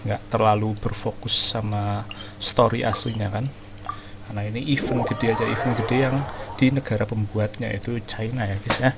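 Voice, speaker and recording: A man's voice: medium pitch, vocal fry. An informal, rambling man doing casual gaming commentary. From Indonesia. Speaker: gaming streamer. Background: game music.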